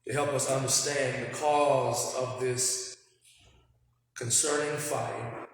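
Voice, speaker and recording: A man moderating a panel, giving a formal public address in a solemn, measured, preacher-like way. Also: mid-range voice. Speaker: moderator opening a panel discussion. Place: large indoor hall with long reverb. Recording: very echoey hall with faint noise.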